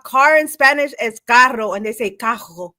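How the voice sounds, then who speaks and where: A woman with a high, bright, sharp voice, ribbing her co-hosts with laughter. She sounds casual and quick to joke in live chat.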